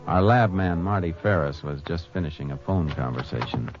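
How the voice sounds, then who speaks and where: A deep, clipped Texas Ranger. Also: male voice, expressive, moderate pace. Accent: American. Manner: clipped, matter-of-fact delivery. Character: steady, terse Texas Ranger lawman. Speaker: lead actor and narrator. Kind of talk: 1950s radio drama acting. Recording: muffled old radio broadcast with music.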